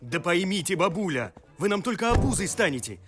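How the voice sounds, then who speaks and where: A man playing an earnest, simple-hearted young strongman hero in an animated comedy, forthright and declamatory. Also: high-pitched, fairly quick, over music. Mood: commanding. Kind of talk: animated comedy film acting.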